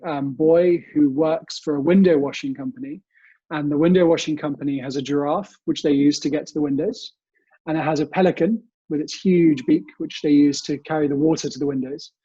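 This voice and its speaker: A man's voice: on the high side, warm tone, husky, no echo, dull audio. A young British man speaking politely as an interview guest, telling family memories in a conversational way. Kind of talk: conversational interview answers, reminiscing. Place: remote recording from home.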